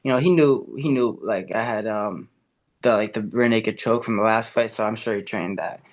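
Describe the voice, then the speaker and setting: A man's voice: on the low side, normal speed. A young man, an amateur fighter, talking eagerly in a casual podcast interview, with many fillers and restarts. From America, Ecuadorian and Puerto Rican family. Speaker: amateur MMA fighter, guest.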